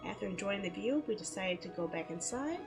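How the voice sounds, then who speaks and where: Female game streamer, playful, medium-pitched, casual read-aloud and chat over faint music. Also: expressive, a little fast. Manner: giggly, playful, chattering to herself.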